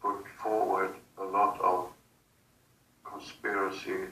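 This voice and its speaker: Male, trance-channelling subject: halting, very slow, bright gravelly edge, distant roomy recording with faint noise. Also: sits low, flat delivery. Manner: halting, broken phrases with long gaps. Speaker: hypnosis subject channelling otherworldly beings. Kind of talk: slow, halting channelled monologue in a guided session.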